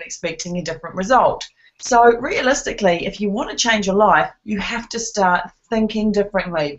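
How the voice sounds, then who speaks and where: A woman presents on a webinar, her voice a little croaky from a cold. She talks earnestly and persuasively, dotting her pitch with ums and uhs. The call audio is muffled and lo-fi. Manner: earnest, persuasive, many fillers. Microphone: conference call line.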